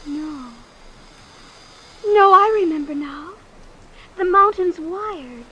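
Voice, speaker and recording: High-voiced girlish young woman. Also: animated, slow pace, bright, forceful tone, dull audio, heavily acted. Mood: fretful. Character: naive, pampered young rich woman, dreamy and girlish. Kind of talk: old-time radio drama acting. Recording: slightly noisy vintage radio broadcast.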